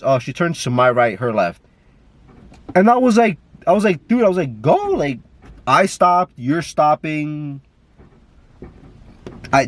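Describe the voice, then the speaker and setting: A man's voice: mid-range voice, dry recording. Man, stuffy nose, rambling casual vlog talk, swears a lot, fillers and restarts, camera mic in a car. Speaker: vlogger. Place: in a car while driving.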